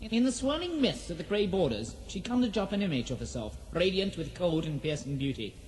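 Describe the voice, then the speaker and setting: A woman narrator reading a scripted fantasy drama in a solemn, measured storytelling manner. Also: fairly low pitch, very animated, darkish tone, a little hiss or hum, low-quality recording. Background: prominent music.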